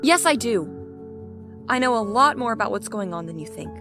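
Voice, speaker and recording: A woman's voice: a little high, animated, moderate pace, close to an everyday voice. A woman playing a firm, protective friend in an audio drama, speaking in an emphatic, reproving way. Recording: music behind the voice.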